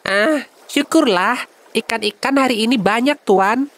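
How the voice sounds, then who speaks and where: A woman's voice: fairly high pitch, measured pace, close to an everyday voice. A woman playing a humble, friendly fisherman in a children's story, with a polite, eager manner.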